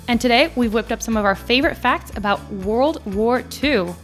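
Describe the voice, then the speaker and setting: A woman raised in France co-hosting a history podcast, giving clear, steady scripted narration in an explanatory tone. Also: on the high side, moderate pace, faint music behind. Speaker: podcast co-host.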